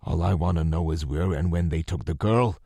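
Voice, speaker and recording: A man's voice: low voice, fairly quick, gravelly, mellow, no echo, studio quality. A man voicing a ruthless, sarcastic male officer who barks commands. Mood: menacing. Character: gruff hard-edged male officer, ruthless.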